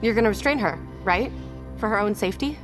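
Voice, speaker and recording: A woman's voice: medium pitch, animated, fast, soft background music, close to an everyday voice. An actress playing a worried, challenging woman in an audio drama, questioning and insistent.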